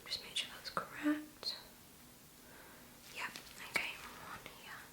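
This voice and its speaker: A woman speaking in a soft, breathy whisper, gently reassuring and instructing the listener as a nurse in an ASMR medical exam roleplay. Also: slow, poor audio. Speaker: ASMR creator playing a nurse.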